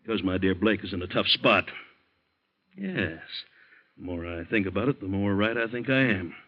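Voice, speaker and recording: An American actor in an old-time radio drama, playing an anxious, blustering middle-aged district attorney who is fretful and imperious. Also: male voice, on the low side, lively intonation, normal speed, muffled recording. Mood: stern, irritated.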